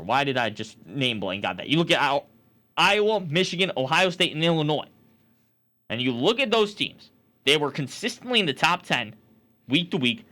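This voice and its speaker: Male sports debate host, forceful and bright, argumentative, with very lively intonation. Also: a little high. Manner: emphatic, argumentative. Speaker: sports talk show host. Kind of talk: opinionated sports talk debate.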